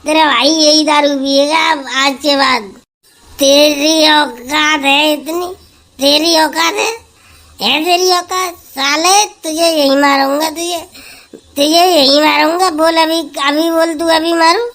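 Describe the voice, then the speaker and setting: A woman's voice: high voice, steady tone, slow pace, fairly bright tone. A woman doing a slurring drunk village husband. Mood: drunk bragging. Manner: slurred singing, burping, picking fights. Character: drunk rowdy village husband, boastful and belligerent. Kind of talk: comic sketch acting.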